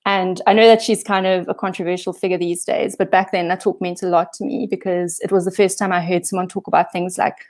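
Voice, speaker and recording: South african woman, bit low voice, chatty talk with lots of ums over a video call. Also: level tone, fairly quick, hardly any pauses, a little mellow. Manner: self-deprecating, chatty, many fillers. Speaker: guest speaker. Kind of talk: casual presentation talk. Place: home room on holiday.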